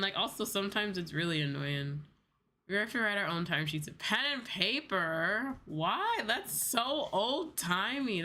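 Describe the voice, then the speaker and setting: A woman's voice: sits low, bright, forceful tone. A young woman chatting casually on a livestream, sounding sleepy, rambling and playfully repeating herself. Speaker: VTuber livestream host. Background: background music.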